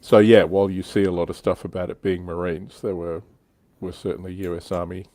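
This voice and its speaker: A man's voice: deep voice, little pitch movement, a little fast, warm tone, dry recording. A man hosting a casual hobby livestream, chatting informally and jokily as he reads out viewer comments. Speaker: livestream host.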